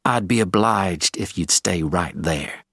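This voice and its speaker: A man voicing an old hired gunman in an audiobook, coldly polite, menacing and deliberate in his speech. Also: deep voice, big pitch swings, husky, no room sound, studio quality, exaggerated voice. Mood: cold menace. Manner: slow, deliberate, coldly courteous.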